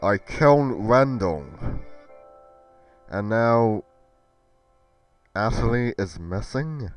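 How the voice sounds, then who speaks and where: Casting: male actor as troubled, earnest roleplay adventurer. Low-pitched, slow, theatrical self-questioning, music underneath. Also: expressive. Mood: brooding, distressed. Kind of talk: dramatic Minecraft roleplay acting.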